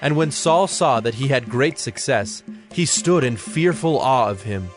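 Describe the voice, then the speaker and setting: Casting: male narrator, mid-pitched, measured and solemn, scripted Bible storytelling with faint music. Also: lively intonation. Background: background music.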